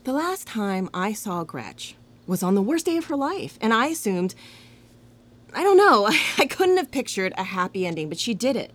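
A woman's voice: mid-range voice, big pitch swings, normal speed, a bit bright. An actress playing a hopeful, teasing young woman in a comedic audio drama, talking in a casual, emphatic way.

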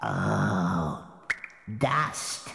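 A woman's voice: very deep voice, lively intonation, extremely slow, creaky, fairly bright tone, heavily acted. A woman voicing a timid, fawning bat henchman in a fairytale audio drama, stammering nervously and hesitantly. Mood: fearful. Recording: echoey cave-like reverb, faint noise.

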